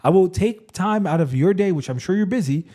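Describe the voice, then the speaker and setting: A man's voice: high voice, lively intonation, a little fast, warm tone. A man hosting a casual interview as a realtor, effusive and emphatic, repeating words for stress. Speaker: podcast host, realtor.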